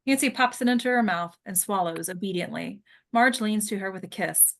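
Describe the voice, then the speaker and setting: Female narrator for screenplay table read; medium pitch, scripted delivery of scene directions. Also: a little fast, creaky, a little hiss or hum. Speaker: stage directions reader.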